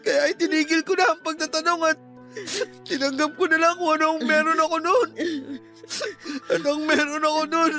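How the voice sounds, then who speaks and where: A man's voice: very high-pitched, flat delivery, moderate pace, sharp tone, heavily acted. A man playing an earnest, polite, wounded young son in a Filipino radio drama. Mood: hurt, tearful. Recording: music bed under the voice.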